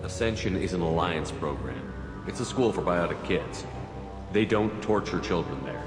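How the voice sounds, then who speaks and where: A man's voice: sits low, lively intonation, normal speed. An actor playing a hardened male commander who asks terse, composed questions. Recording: reverberant with loud game music.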